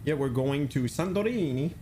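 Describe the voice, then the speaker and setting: A man's voice: on the high side, lively intonation, normal speed, warm tone, a little hiss or hum. A man streaming a flight simulator, chatting casually, thinking aloud and answering chat with many fillers.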